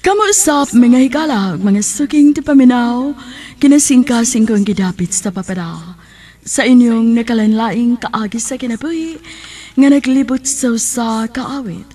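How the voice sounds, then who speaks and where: A woman radio announcer with a Cebuano Filipino accent delivers scripted narration. Her voice is fairly high as she reads out a drama programme's closing notices. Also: animated, normal speed, mellow.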